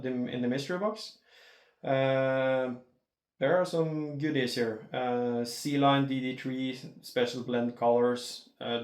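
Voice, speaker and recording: A man's voice: mid-range voice, reverberant. A man from Norway with a Norwegian accent, giving casual, hesitant commentary as an amateur showing products on video. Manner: hesitant, halting.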